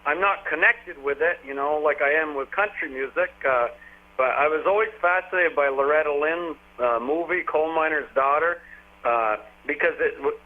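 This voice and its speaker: A man's voice: fairly high pitch, moderate pace. A man in his forties from Vermont, a country singer telling stories in a casual, rambling way with lots of fillers. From Vermont, USA. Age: 40s. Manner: rambling, folksy storytelling with frequent fillers. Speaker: country singer, interview guest. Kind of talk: casual phone interview. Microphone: telephone. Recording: tinny phone line.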